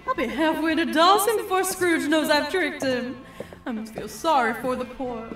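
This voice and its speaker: A woman's voice: high-pitched, normal speed, a bit bright. A woman voicing a greedy, feisty female cartoon duck in a fan comic dub, theatrical and scornful. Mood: scheming. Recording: roomy, distant home recording over music.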